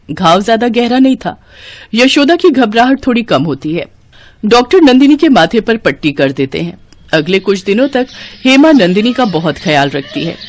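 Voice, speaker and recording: Female narrator for scripted bedtime moral stories aimed at children. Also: medium pitch. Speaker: story narrator.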